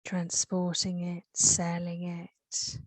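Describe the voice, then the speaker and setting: A woman with an English accent leading a guided meditation, soothing and unhurried, with long pauses. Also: on the low side, monotone, dry recording.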